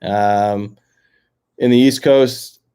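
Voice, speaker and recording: A man's voice: medium pitch, steady tone, extremely slow, mellow, smooth. A young Canadian man with a Canadian accent, casually chatting and reading viewer comments on a live stream, full of fillers and restarts. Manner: rambling, filler-heavy, many restarts. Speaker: livestream host. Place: outdoors near a lake.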